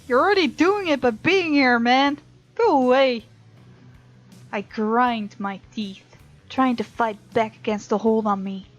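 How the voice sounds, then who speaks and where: A woman's voice: fairly high pitch, measured pace. A woman hosting a let's play, reading game text aloud and reacting casually and expressively. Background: faint game music.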